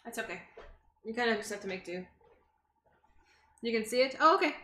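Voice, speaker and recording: A woman's voice: on the high side, animated, fairly quick, a bit bright, a little hiss or hum. A young woman from Los Angeles chatting casually with her stream while cooking in a home kitchen, answering chat as she chops. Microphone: desk microphone on the counter.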